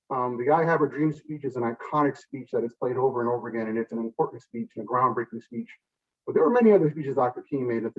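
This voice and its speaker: A man giving a public commemorative address as a politician, speaking earnestly with deliberate pauses and emphatic phrasing. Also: medium pitch, recorded from a distance, lo-fi sound. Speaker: state senator giving a speech.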